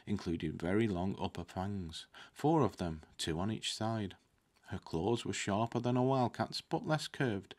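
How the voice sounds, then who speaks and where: A man in his thirties reading a fantasy novel aloud, now and then stumbling on a word and correcting himself. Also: lowish voice. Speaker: book reader. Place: indoor room with curtains, at a desk. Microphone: desktop microphone close in front.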